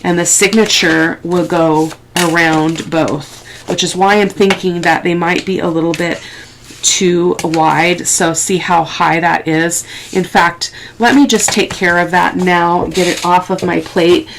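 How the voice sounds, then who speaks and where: Sniffly, lower-pitched woman crafting on camera. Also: steady tone, noisy background. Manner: unhurried, halting, thinking aloud while working. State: sniffly with a head cold. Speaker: crafting tutorial host. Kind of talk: casual, rambling craft process talk. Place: indoors at a craft table.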